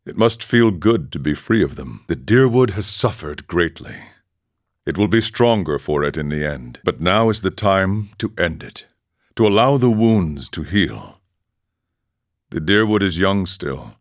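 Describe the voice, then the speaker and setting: A man playing a serene, world-weary wandering monk in a video game, speaking in a measured, formal, philosophical manner. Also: low voice, animated, dry recording, studio quality. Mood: solemn, calm. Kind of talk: video game character dialogue.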